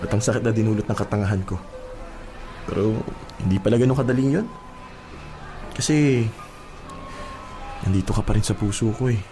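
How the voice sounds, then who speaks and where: A man's voice: lowish voice, brisk, pauses often, over music, close to an everyday voice. Man acting an earnest, lovesick suitor. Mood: earnest, regretful. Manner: earnest, hesitant, pleading. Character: remorseful lovesick young suitor confessing his love. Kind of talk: radio drama acting.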